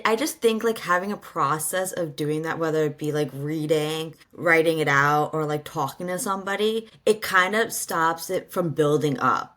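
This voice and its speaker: A woman's voice: lowish voice, a bit bright. A woman hosting a podcast, chatting casually and packing her talk with "like". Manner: chatty, many "like" fillers, laughs.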